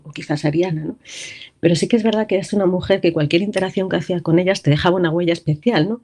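A woman's voice: on the low side, warm tone. Tearful woman with a trembling voice. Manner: halting, many restarts, nervous laughs. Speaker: radio show voice-over announcer. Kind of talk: emotional tribute on live radio.

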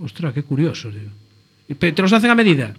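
A man's voice: fairly high pitch, big pitch swings, fast, a bit bright, breathy edge. A man hosting a radio show from the studio, chatting and joking with his guests in a casual way. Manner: jokey, chatty, laughing with guests.

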